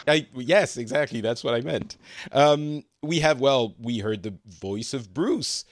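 A man's voice: medium pitch, measured pace, no echo, studio quality. A man in his forties hosting a podcast, chatting and teasing his guests and laughing often. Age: 40s. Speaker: podcast host. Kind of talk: casual chat, welcoming guests.

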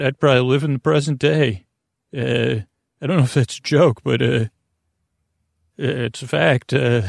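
A man hosting a bedtime podcast in a meandering, halting monologue, drawing out words, wandering into asides and sounding gently silly. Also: medium pitch, vocal fry, dry recording, clean studio sound. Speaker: podcast host. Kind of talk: rambling bedtime podcast monologue to help listeners sleep.

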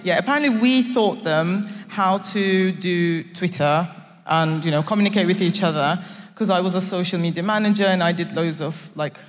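A woman's voice: fairly low pitch, moderate pace. A young adult woman from Turkey and Nigeria, a guest on a live stage talk, speaking earnestly and playfully with slightly phrasing. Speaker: guest designer. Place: concert hall stage. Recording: long reverb of a live concert hall.

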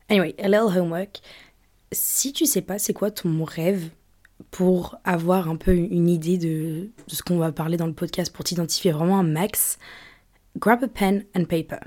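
Young woman from england chatting on her podcast, kinda low warm voice, lots of du coup and restarts. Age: young adult. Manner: chatty, rambling, many fillers and restarts. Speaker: podcast host. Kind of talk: casual solo podcast chat.

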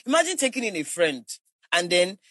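A woman's voice: lowish voice, very animated, a little fast, breathy edge, no echo, clean studio sound. A woman with a Nigerian accent giving her opinions conversationally, emphatic and repeating herself to drive points home. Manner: emphatic, repetitive, uses vivid hypothetical examples.

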